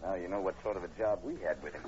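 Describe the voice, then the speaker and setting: An actor playing a plain-spoken state police sergeant, halting and matter-of-fact, in 1940s radio horror style. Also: male voice, low voice, lightly acted. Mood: resigned. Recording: dull, noisy old radio broadcast recording.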